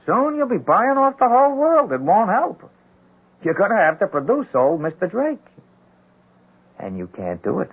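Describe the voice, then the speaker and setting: A hapless, earnest street drunk in a vintage radio mystery. His husky voice jumps around as he stammers in rough slang. The sound is muffled and thin. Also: sits high, wildly swooping pitch, normal speed, pauses often, exaggerated voice. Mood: accusing. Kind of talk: 1970s radio drama acting.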